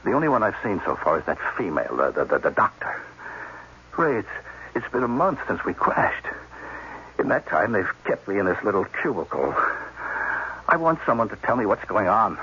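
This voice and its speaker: An actor playing an indignant, questioning space colonel stranded far from home, in a 1980s radio drama style. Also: male voice, on the low side, big pitch swings, moderate pace, pushed and bright, breathy edge, full character voice. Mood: anxious, puzzled. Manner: demanding, emphatic questioning. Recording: dull, noisy old radio broadcast.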